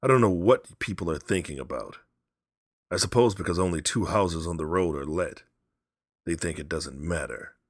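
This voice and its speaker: A man's voice: low voice, moderate pace, dry recording, clean studio sound. A man voicing a grey-bearded old father in a storybook, blustery, curious and emphatic. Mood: irritable.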